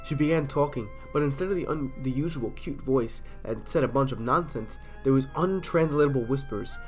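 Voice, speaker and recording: A man's voice: mid-range voice, moderate pace, soft background music. A man with a stuffy cold, casually reading horror stories aloud in a chatty, self-deprecating way and sometimes stumbling over words. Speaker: storyteller.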